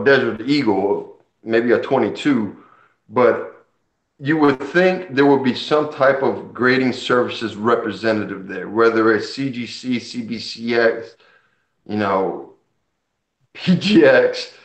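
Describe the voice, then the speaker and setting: Chatty collector man in a roomy room. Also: medium pitch. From Puerto Rico. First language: Spanish. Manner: enthusiastic, rambling storytelling. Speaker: comic collector host. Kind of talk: casual chat. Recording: dull roomy sound.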